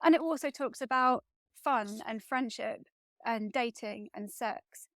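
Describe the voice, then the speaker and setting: Higher-pitched woman, thoughtful and halting. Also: fairly bright tone, dry recording, studio quality. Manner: thoughtful, halting, searching for words. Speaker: author guest. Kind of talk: reflective conversational interview.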